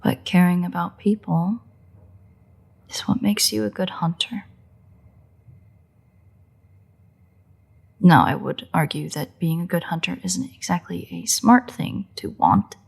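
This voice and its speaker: A woman playing a playful, sardonic, bloodthirsty but secretly caring vampire in a roleplay audio drama, with wry, husky, often breathy delivery. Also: on the low side, a little mellow, exaggerated voice. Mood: softer, grudging. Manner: wry, teasing, unhurried delivery with pauses.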